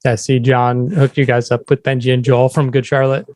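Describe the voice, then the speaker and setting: A man's voice: medium pitch, little pitch movement, normal speed, a little mellow, no room sound. A man hosting a podcast interview, asking questions in a casual conversational style. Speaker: podcast host.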